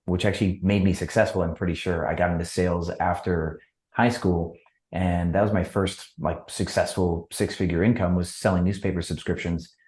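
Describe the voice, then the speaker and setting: A man from Wisconsin, a podcast guest, telling his story in a relaxed, reflective way with fillers. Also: low-pitched, echoey room. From Wisconsin, USA. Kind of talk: casual interview chat.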